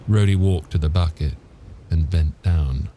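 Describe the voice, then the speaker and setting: A man's voice: sits low, a little slow, warm tone, slight background noise. A man reading an audiobook as narrator, in a scripted storytelling delivery.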